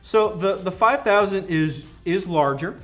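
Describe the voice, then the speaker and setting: A man's voice: sits high, a little slow. A man working as a company product representative, explaining a product enthusiastically in a conversational interview. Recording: music playing behind the voice.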